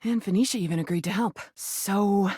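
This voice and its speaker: A woman voicing a brash, crude, flirty rubber duck in a video game, with a husky voice and a sardonic, slangy, sometimes defensive delivery. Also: medium pitch, normal speed, mellow, no room sound, clean studio sound, close to an everyday voice. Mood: sincere, upbeat. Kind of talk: video game character acting.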